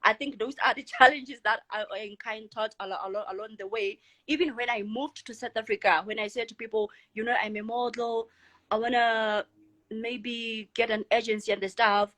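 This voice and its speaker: Young woman, kinda high voice, confident and chatty, laughs easy. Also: normal speed. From Lesotho. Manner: confident, expressive, laughs often. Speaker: guest, model and entrepreneur. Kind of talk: casual interview answers.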